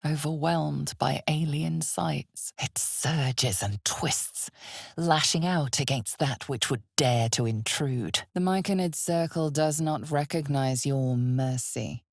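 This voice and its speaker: A woman playing the ominous, knowing narrator of a dark fantasy game, measured and deliberate, with a husky, breathy voice. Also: deep voice, no echo, clean studio sound, full character voice.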